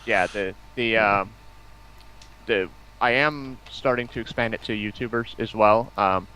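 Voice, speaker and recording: A man's voice: lowish voice, a little slow, bright, forceful tone, smooth, rough recording. An American man talking casually as a guest on a stream. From United States. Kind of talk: casual chat.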